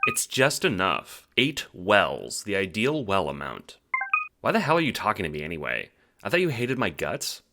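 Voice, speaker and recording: A man playing a smug, mocking troll with wide-swinging pitch. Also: huge pitch swings, very noisy, heavily acted. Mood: smug, taunting. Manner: smug, sarcastic, drawn-out mocking delivery. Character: snarky, foul-mouthed teenage troll taunting over chat. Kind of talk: amateur audio drama acting.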